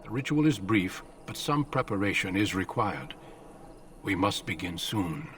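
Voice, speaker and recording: An actor playing a grave, seasoned male warden commander in a fantasy game, speaking in a measured, formal, solemn way. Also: low-pitched, lively intonation, fairly bright tone, distant mic, slight background noise. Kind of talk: fantasy video game voice acting.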